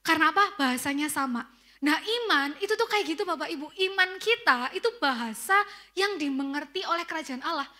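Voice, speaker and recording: A young woman preacher with a Tegal Javanese accent, giving a sermon in a lively storytelling way and speaking straight to the congregation. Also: high voice, fairly quick. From Central Java, Indonesia. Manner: addresses congregation directly, lively storytelling with quoted asides.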